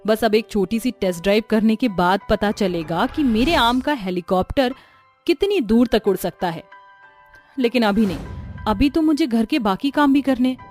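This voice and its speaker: Brief: actress as a young wife in a cartoon story, fairly high voice, faint music. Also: female voice, lively intonation, normal speed, a little mellow, natural voice. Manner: earnest, thinking aloud. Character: young village wife, engineer, later a witch.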